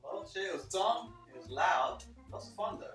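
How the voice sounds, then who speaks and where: A man's voice: sits high, expressive, normal speed, a bit bright, far from the mic. A man giving casual opinions as a panelist in a film discussion. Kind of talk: casual chat. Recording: echoey room, off mic, music behind.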